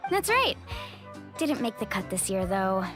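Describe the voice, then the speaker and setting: A woman's voice: mid-range voice, big pitch swings, a little slow. A woman playing an upbeat, confident young woman in a video game, with a breezy, casual, playful way of talking. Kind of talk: animated video game voice acting. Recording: music behind the voice.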